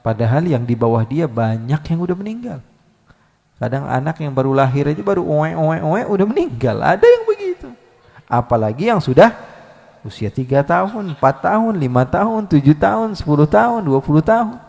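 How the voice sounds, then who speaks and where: A male preacher giving a live Islamic sermon, lively, swinging between loud calls and soft asides with many rhetorical questions. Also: a little high, brisk, vocal fry, big-room sound. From Indonesia. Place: large hall at a live religious gathering.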